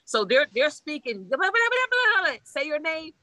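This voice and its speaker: A woman's voice: sits high, big pitch swings, moderate pace, bright, forceful tone, no room sound. A woman from Haiti, a priestess, talking bluntly and animatedly in a casual conversation, laughing often and swearing freely. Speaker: Ifa priestess guest.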